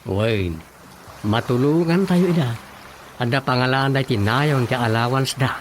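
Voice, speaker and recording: A man's voice: mid-range voice, a little slow, heavy background noise, lightly acted. An actor playing a practical, inquisitive village councilman in a conversational comedic radio drama, with an Ilocano accent and music behind him. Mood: matter-of-fact.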